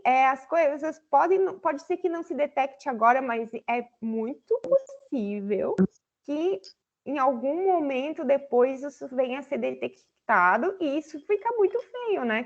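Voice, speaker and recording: A woman's voice: high-pitched, gravelly. A woman guest lecturer answering seminar questions over a video call, explaining at length with many fillers. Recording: slightly dull online audio.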